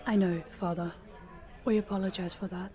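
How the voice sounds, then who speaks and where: A young woman playing a composed, dutiful and quietly protective princess in an audio roleplay drama. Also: mid-range voice, fairly even intonation, normal speed, crowd noise, natural voice.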